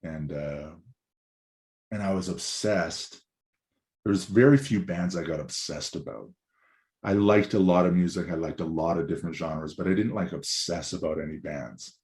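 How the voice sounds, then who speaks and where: A man in his forties, a musician guest with a raspy, hoarse voice, talking in a loose, rambling way that is sprinkled with swearing. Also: low-pitched, moderate pace, darkish tone. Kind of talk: casual chat.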